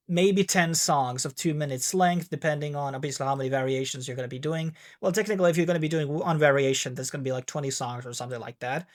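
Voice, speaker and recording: A man with a Finnish accent, speaking English as a second language in a rambling, casual tutorial with many fillers and restarts. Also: fairly high pitch. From Finland. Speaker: tech video host.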